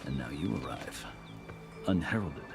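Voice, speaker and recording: A man's voice: rumbling low, expressive, unhurried, creaky, heavily acted. A man playing a composed, wry captive former army commander in a video game, speaking in a formal, eloquent way. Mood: measured, wary. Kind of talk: cinematic video game acting. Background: prominent background music.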